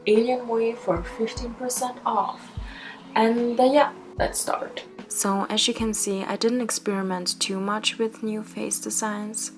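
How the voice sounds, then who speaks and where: A woman from Austria, a native German speaker, rambling casually in a craft vlog voice-over. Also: mid-range voice. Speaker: craft vlogger. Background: background music.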